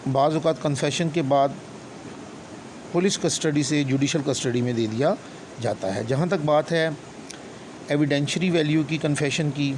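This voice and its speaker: A man's voice: fairly high pitch, normal speed, pauses often. Pakistani man lecturing over background noise. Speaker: law lecturer. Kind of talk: explanatory lecture with English legal terms mixed in. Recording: clear steady background noise, hum.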